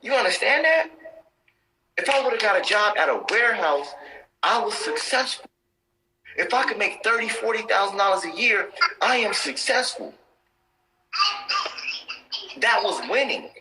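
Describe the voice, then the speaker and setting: A man's voice: high-pitched, lively intonation, moderate pace, long pauses, pushed and bright, slight background noise, rough recording. A man in his thirties giving motivational talk on a live stream, intense and preachy, repeating his points for emphasis. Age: 30s.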